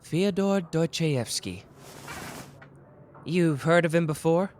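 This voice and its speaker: Man playing a young detective, sharp, playful, teasing, theatrical, slightly high voice, very lively pitch, audio roleplay. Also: a little hiss or hum. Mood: brooding. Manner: quick, teasing, theatrical shifts between cheeky and grave. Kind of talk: listener ASMR roleplay.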